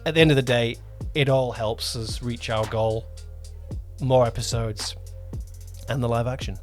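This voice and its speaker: A man's voice: medium pitch, steady tone, unhurried. Emphatic man chatting on a podcast, music behind. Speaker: podcast host, audio drama producer and director. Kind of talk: casual podcast chat.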